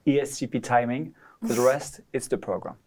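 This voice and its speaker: A man hosting an interview, prompting guests in a brisk, friendly way. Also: medium pitch, steady tone, noisy background.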